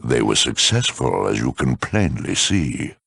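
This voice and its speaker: A man playing a smug, erudite super mutant lieutenant in a video game, talking with slow, menacing condescension in a deep rasp. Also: very animated, a bit bright, no echo, studio quality, heavily acted. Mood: proud, zealous. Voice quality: very deep, gravelly, rasping. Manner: condescending, eloquent, slow and deliberate. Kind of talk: theatrical video game villain acting.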